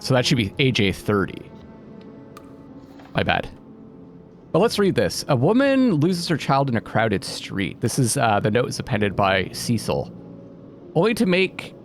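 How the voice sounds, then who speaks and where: Animated man musing through a game. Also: mid-range voice. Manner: musing, often questioning himself. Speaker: let's play host. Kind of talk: casual gaming commentary, thinking aloud and reading out game text. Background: game music.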